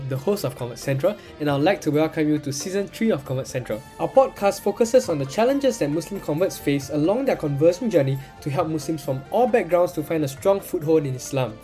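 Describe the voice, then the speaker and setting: A man's voice: a little high, warm tone. A man hosting a podcast, introducing the show and his guest in a friendly way with some filler words. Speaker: podcast host.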